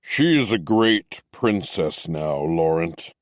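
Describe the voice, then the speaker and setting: Male narrator doing captain: deep, slow, measured, gently stern; dry booth. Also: expressive, dry recording. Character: stern but kindly male captain, middle-aged. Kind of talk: audiobook character voice.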